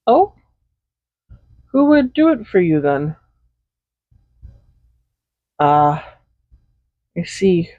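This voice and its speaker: A woman playing a doting, possessive villain in an intimate roleplay audio, speaking softly and without hurry in a gently teasing manner. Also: lowish voice, creaky, no room sound, heavily acted. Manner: soft-spoken, unhurried, gently teasing. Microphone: Blue Yeti USB microphone, close. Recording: slightly dull home microphone recording.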